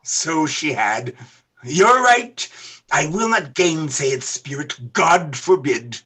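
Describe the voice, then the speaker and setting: A woman playing an old man in a radio play, wistful and moved by his past, in a husky, exclaiming, dramatic voice. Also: low voice, animated, slow, pushed and bright, full character voice. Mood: wistful, regretful.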